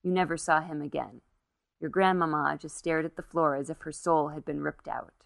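Low-pitched woman reading fiction, dry sound. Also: fairly even intonation, moderate pace, vocal fry, no echo. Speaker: short story reader. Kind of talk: literary story narration.

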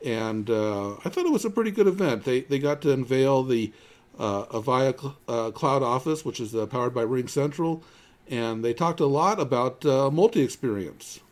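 A male podcast host with a mid-pitched voice talks through industry news in a conversational way. His delivery is halting, often doubling back on the first words of a phrase. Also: lively intonation. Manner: halting, with repeated word starts. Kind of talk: conversational tech news discussion.

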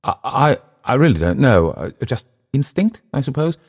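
A nervous young Englishman, acted out by a male narrator, pitched up high and eager. He is naive and apologetic, stumbling over his words in an English accent, like a character in an audiobook adventure. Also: big pitch swings, moderate pace, a little mellow, slightly rough. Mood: hesitant, flustered. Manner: hesitant, stammering, apologetic.

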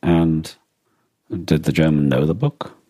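A man co-hosting a podcast, discussing books casually in a measured, considered and slightly tentative way. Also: rumbling low, dark tone, no room sound, studio quality. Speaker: podcast co-host.

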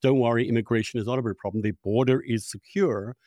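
A man's voice: mid-range voice, fairly even intonation, fast talker, dark tone, no room sound, clean studio sound. An older man hosting a conversational political discussion, emphatic and often correcting himself as he goes. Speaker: podcast host.

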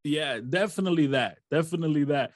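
A man from Rochester, New York, hosting a podcast and asking measured, reflective questions. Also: fairly high pitch, no echo, clean studio sound. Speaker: podcast host. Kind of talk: interview questions.

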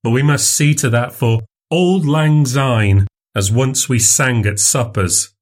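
A man's voice: mid-range voice, voice pushed up, natural voice. A man reading aloud and voicing a wealthy older male doctor who is flustered and placating. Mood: nervous, placating. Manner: hurried, placating, fussy politeness.

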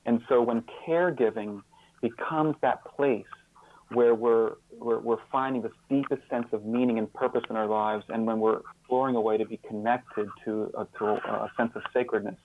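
A man speaking as an interview guest, explaining his ideas in a reflective, earnest way, often halting and repeating words. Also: medium pitch, fairly even intonation, slight background noise. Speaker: interview guest and author on caregiving. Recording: slightly phone-like, band-limited line.

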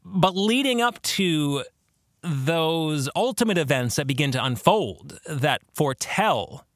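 A man hosting a podcast, explaining theology in a monologue with deliberate, emphatic phrasing. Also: fairly high pitch, big pitch swings, no echo, studio quality. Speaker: podcast host and author.

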